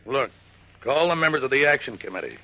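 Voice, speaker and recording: An actor playing a scheming, menacing gang leader with slangy, commanding talk in a 1940s radio drama. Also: male voice, medium pitch, very animated, fairly quick. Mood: menacing, bossy. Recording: muffled vintage radio recording.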